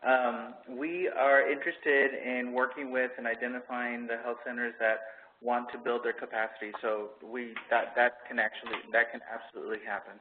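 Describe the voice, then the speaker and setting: A man from the program staff answering a caller's question, halting with many fillers and repeated words. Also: medium pitch, little pitch movement. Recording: tinny phone line with faint hiss.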